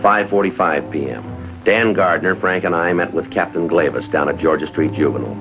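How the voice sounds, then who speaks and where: An actor playing a stern, no-nonsense police sergeant in 1950s TV crime drama style, clipped and authoritative. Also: male voice, deep voice, brisk, music in the background. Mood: matter-of-fact narration. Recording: dull lo-fi 1950s TV soundtrack.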